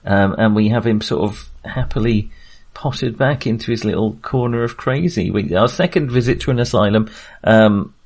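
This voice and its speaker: A man co-hosting a casual film discussion, speaking in a halting way as he thinks aloud. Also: fairly low pitch, a little mellow, breathy edge. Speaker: podcast co-host.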